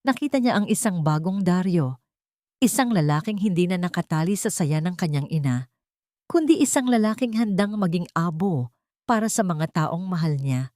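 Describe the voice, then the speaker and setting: Mellow, low-voiced Filipino woman narrating drama. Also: animated, normal speed, no echo, clean studio sound. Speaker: narrator of a drama story podcast. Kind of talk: scripted dramatic story narration.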